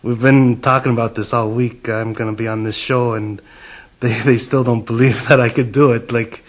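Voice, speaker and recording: A Canadian man in his thirties, a reality show contestant, talking haltingly with chuckles in a confessional interview. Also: mid-range voice, fairly even intonation. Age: 30s. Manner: chuckling, halting, self-deprecating.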